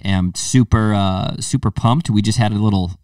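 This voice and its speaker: Young male podcast co-host, self-deprecating joker, slightly low voice, dry room, a bit off mic. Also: normal speed, a little mellow, no echo, distant mic. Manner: joking, self-deprecating, laughs often. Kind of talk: casual chat.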